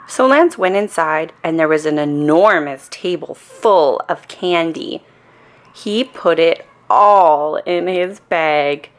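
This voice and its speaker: A woman reading a children's story aloud in an expressive, deliberate way with dramatic emphasis. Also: low voice. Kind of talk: animated bedtime story read-aloud.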